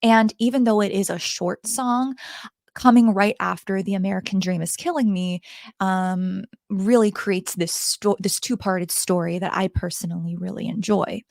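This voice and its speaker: A woman with a hoarse, gravelly voice reviews an album in a casual, chatty vlog. She talks straight to her viewers through a handheld microphone. The sound is dry and close, with no room echo. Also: mid-range voice, fairly even intonation, moderate pace, darkish tone. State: hoarse, raspy voice from the cold. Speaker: music reviewer.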